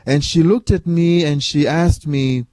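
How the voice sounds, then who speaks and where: A man, telling his life story in a measured, earnest way. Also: a little high, warm tone, dry recording. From Malawi. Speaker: peace organization vice president. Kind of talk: personal storytelling talk.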